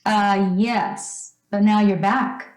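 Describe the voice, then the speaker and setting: A woman's voice: medium pitch, fairly even intonation, takes it slowly, lightly acted. A woman playing a doting, trusting, well-off mother in an old-time radio drama, speaking in a fond, fussing, chatty way. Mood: earnest, confiding. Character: doting, trusting, wealthy mother of a teenage son. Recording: roomy, echoing stage-like sound.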